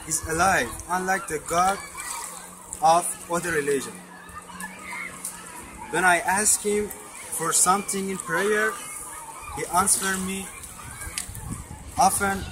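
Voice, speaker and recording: Slow, halting Persian-accented man. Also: high-pitched. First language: Farsi. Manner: halting, with many pauses and self-corrections. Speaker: person giving a faith testimony. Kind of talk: slow read-aloud testimony. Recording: noisy recording.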